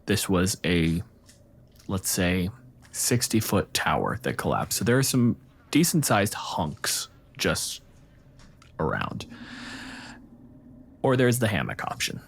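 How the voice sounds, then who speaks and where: A man's voice: low-pitched, sing-song, slow pace, gravelly, pauses often, breathy edge. A man improvising narration as the game master of a tabletop fantasy game.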